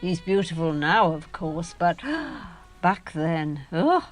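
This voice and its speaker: A woman's voice: on the low side, slow. An actress in an audio drama playing a middle-aged, imperious and easily wounded mother, theatrical, emphatic and sardonic. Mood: wistful, nostalgic.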